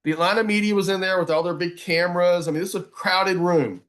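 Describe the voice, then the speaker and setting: Higher-pitched man, emphatic speaker. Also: fairly even intonation, quick pace, fairly bright tone. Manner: emphatic, folksy, repeats phrases for emphasis. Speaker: advocacy speaker giving a webinar talk. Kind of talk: motivational presentation to a group.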